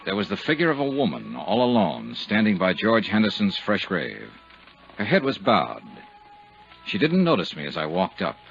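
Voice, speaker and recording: An actor playing a hard-boiled insurance investigator in a 1950s radio drama, clipped, dry and matter-of-fact. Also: male voice, low-pitched, wildly swooping pitch, normal speed, vocal fry, exaggerated voice. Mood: dry narration. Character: brisk, hard-boiled insurance investigator narrating his case. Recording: dull 1950s radio recording, music behind.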